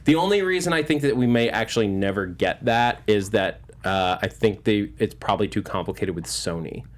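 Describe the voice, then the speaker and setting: A man hosting a casual movie news chat, talking with enthusiasm and animated asides. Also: lowish voice, a little fast. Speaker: show host.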